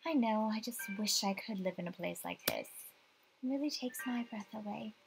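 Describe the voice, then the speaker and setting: A woman voicing an impatient, teasing young female realtor from a visual novel game, animated and pushy. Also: mid-range voice, normal speed, creaky, background noise, close to an everyday voice. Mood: wistful.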